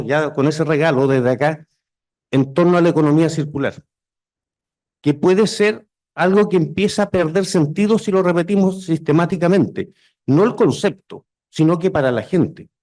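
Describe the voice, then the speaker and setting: A man's voice: fairly high pitch, a little mellow. A Chilean man speaking as a conference presenter, informal and conversational, self-deprecating and repeating points for emphasis. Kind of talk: informal presentation to a seminar audience.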